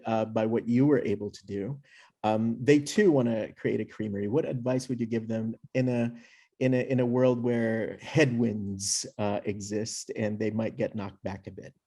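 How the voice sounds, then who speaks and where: Mellow-voiced man moderating a panel. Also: medium pitch. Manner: halting, many fillers, encouraging.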